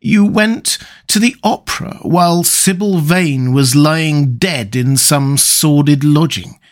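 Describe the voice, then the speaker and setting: An earnest older painter from an audiobook classic, played by a male narrator, high and swooping in pitch. He is anguished and morally shocked, pressing his reproaches with pained emphasis. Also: big pitch swings, moderate pace, a bit bright, full character voice. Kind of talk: dramatised audiobook reading of a classic novel.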